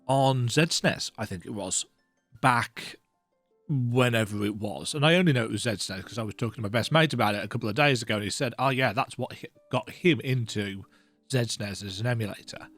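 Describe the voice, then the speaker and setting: A man in his thirties giving casual, rambling game commentary in a reflective, self-deprecating, conversational way. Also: mid-range voice. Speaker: video game let's play host.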